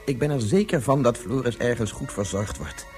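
An actor in a Dutch radio drama playing a guilt-ridden, determined scientist and father, speaking in an earnest, measured, insistent way. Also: medium pitch, darkish tone, faint music behind, lightly acted.

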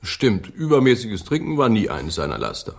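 A husky man's voice with a lively, wide melody, as a learned English gentleman in a radio play. He is formal, dry and proudly opinionated. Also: fairly low pitch, normal speed, full character voice. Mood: dry, confiding. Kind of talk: literary radio drama acting.

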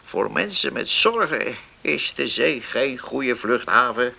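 A man's voice: mid-range voice, lively intonation, takes it slowly, vocal fry, sharp tone, slight background noise, heavily acted. A man acting an old seaman in a Dutch radio play, kindly and fatherly, hesitating and repeating his words as he gives counsel. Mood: grave, cautioning. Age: older.

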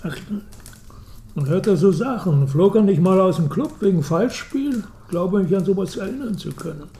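Casting: middle-aged dismissive father in a radio play, dark mellow voice, roomy, clinking cutlery. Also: high voice, expressive, moderate pace. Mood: disapproving. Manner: grumbling, dismissive, offhand. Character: disapproving middle-aged upper-class father, gossipy and dismissive. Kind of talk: German radio drama acting. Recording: roomy, noisy with clinking tableware. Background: cutlery and dishes.

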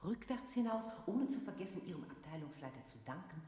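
A woman's voice: lowish voice, lively intonation, brisk, dark tone, breathy edge. A woman playing a bossy voice that issues procedural instructions in a radio play. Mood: directive.

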